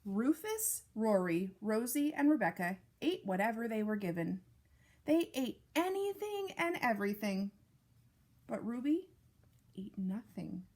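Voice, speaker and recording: A woman reading a picture book aloud to young children, with deliberate, expressive phrasing and casual asides. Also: fairly high pitch. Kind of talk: read-aloud storytime narration with casual asides.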